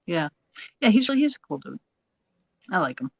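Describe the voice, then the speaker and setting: A woman from Massachusetts with a Massachusetts accent and a rough voice, chatting bluntly as a paranormal show guest. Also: lowish voice, sing-song, moderate pace, no echo, muffled recording. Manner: blunt, laughs easily, rambling restarts. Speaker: paranormal radio show host. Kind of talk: casual chat.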